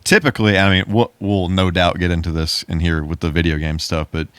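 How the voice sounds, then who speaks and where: A man's voice: low voice, steady tone, fairly quick, a bit bright, smooth, dry recording. A man co-hosting a podcast in casual chat, easygoing and agreeable as he responds. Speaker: podcast co-host.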